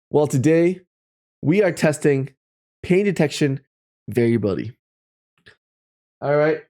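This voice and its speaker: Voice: mid-range voice, warm tone. A livestream host chatting casually to viewers in a playful, exclamatory way, often repeating phrases for effect.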